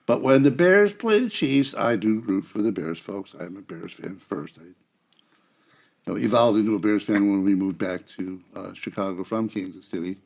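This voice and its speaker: Male guest, sixties, creaky voice, casual conversational storytelling. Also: on the low side, normal speed, a little mellow. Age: 60s. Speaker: guest, church member. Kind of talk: casual chat, reminiscing. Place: small studio round a table. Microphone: close podcast microphone.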